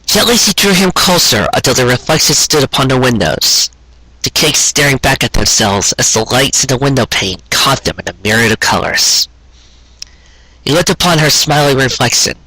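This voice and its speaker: A man narrates a romantic tale with earnest drama. His voice is bright and forward with a husky grain, recorded dry and close. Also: medium pitch, no echo, low-quality recording. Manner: earnest, dramatic storytelling delivery. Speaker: storyteller reading fan fiction aloud. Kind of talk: scripted narration of a sentimental romance story.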